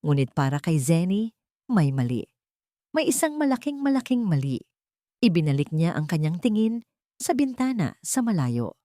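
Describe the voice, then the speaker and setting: A woman's voice: lowish voice, very animated, normal speed, dark tone, no room sound, clean studio sound. A woman with a Filipino accent reading a scripted, dramatic suspense story as its narrator.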